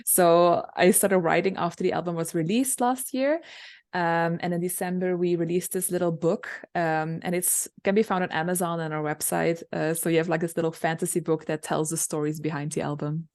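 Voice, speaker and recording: Low-voiced young woman singer. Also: steady tone, moderate pace, darkish tone. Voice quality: low alto voice. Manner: chatty, many fillers and restarts. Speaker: singer and songwriter, interview guest. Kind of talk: casual interview answers.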